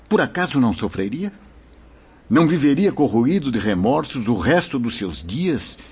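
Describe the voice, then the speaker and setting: A Brazilian man playing a kindly, solemn spirit mentor who exhorts in a measured, preacherly way in a radio drama. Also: mid-range voice, darkish tone, breathy edge, full character voice. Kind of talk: Portuguese radio drama acting. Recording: dull lo-fi audio.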